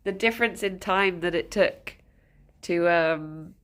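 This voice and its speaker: A woman's voice: lowish voice, takes it slowly, clear, resonant tone. A woman with a stuffed-up nose, chatting casually with her live chat, greeting viewers by name and giggling often. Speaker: streamer.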